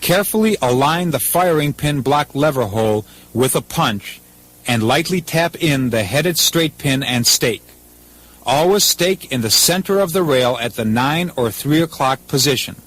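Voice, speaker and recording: Man, American accent, mid-pitched voice, formal, methodical, impersonal, scripted 1980s military instructional narration. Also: lively intonation, measured pace, fairly bright tone, rough recording. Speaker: military training film narrator.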